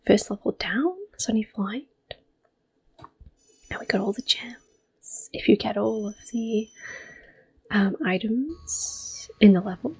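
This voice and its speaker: Woman in her 30s, soft ASMR game talk, half whispered, slow and unhurried, faint game music behind. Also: mid-range voice. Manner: soft-spoken, often drops to a whisper. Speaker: ASMR gaming channel host. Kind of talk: ASMR gameplay commentary.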